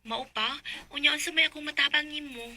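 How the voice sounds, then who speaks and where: An actress playing a helpful young friend and confidante in a Bisaya radio drama, with a Cebuano Filipino accent. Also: female voice, high voice, quick pace, bright, forceful tone. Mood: concerned, hesitant.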